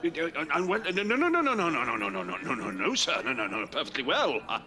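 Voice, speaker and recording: An actor playing a troubled, broken elderly father in an audio drama, halting, stammering and repeating his words. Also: mid-range voice, wildly swooping pitch, crowd noise. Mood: confused, fearful. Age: older. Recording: music behind the voice.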